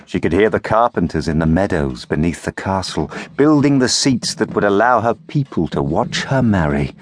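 A man, reading a fairy tale as audiobook narrator in expressive storytelling style. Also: sits low, normal speed.

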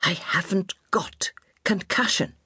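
A woman voicing a proud, obstinate little old lady who speaks sharply and curtly in a breathy near-whisper. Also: lowish voice, very animated, normal speed, dry recording, clean studio sound, pitched above the performer's own voice, full character voice. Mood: defiant.

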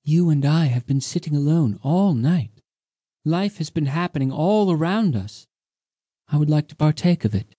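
Male reader doing a higher, gentle, whimsical ageless sea maiden in a storybook reading, dry close sound. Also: expressive, moderate pace, husky, no echo. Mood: wistful. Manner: soft, precise, lilting. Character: mysterious ancient sea woman, young-seeming, whimsical.

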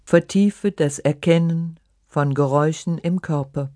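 A meditation guide reading a scripted relaxation in short, measured, instructive phrases. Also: mid-range voice, dark tone, no room sound, clean studio sound. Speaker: yoga nidra meditation guide.

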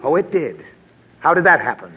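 Harried, lecturing dad, lively fairly high voice. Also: male voice, normal speed. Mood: exasperated. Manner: blustering, lecturing, easily flustered. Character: exasperated middle-aged father. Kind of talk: 1940s radio comedy acting. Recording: dull old radio broadcast, faint hiss.

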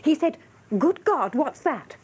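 An actress playing a chatty, excitable English office typist, gushing and breathless in a radio drama. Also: female voice, high voice, unhurried. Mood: excited, shocked. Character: chatty, excitable female office typist who loves gossip.

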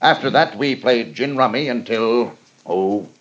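A man doing a wealthy businessman on the witness stand, speaking in a calm boardroom tone. The recording sounds dull and muffled, like an old radio broadcast. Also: mid-range voice, measured pace, close to an everyday voice. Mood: composed. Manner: composed, boardroom tone.

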